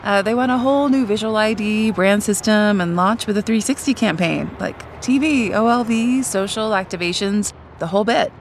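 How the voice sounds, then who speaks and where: An actress playing an exasperated, practical, wryly reluctant sister in a naturalistic audio drama. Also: female voice, mid-range voice, moderate pace, few pauses, slight background noise, close to an everyday voice. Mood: wry, hesitant. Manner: wry, exasperated, conversational.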